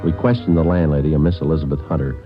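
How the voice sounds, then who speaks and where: An actor playing a dogged, stoic police detective in a 1940s radio drama, speaking in clipped, matter-of-fact, deadpan sentences. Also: male voice, extremely low pitch, rapid-fire. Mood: dry, weary. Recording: muffled old radio recording with music.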